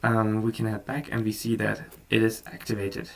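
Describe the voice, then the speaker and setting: A man whose first language is German, talking casually through a software tutorial, relaxed, with frequent ums and restarts. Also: fairly low pitch, normal speed, gravelly, distant mic, slight background noise. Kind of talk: casual screen-recorded tutorial.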